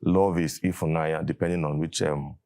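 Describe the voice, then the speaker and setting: A man's voice: rumbling low, steady tone, a little fast, a little mellow. A man with a Nigerian English accent whose first language is Igbo, a catechist guest on a talk show, speaking thoughtfully and hesitantly. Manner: thoughtful, hesitant, with fillers and restarts. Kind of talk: conversational interview.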